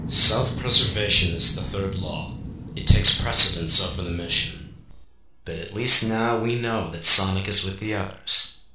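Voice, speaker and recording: Man acting a slow, echoey villainous robot. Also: low voice, bright, forceful tone, full character voice. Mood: tense, calculating. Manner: deliberate, formal, clipped phrasing. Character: villainous robot, methodical and easily exasperated, tracking its target. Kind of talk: amateur radio drama acting. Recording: echoey, noisy amateur recording.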